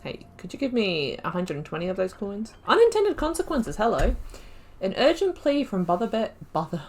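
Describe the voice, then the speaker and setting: A woman's voice: medium pitch, sing-song, normal speed, darkish tone. A woman hosting a game playthrough, chatting informally with wry asides and reading on-screen text aloud. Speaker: gaming video host.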